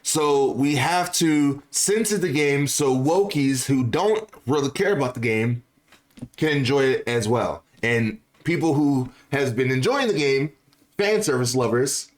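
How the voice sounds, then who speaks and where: A man's voice: mid-range voice, lively intonation, unhurried. A man giving casual, opinionated video commentary on gaming news, often repeating phrases for emphasis. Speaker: video creator and host.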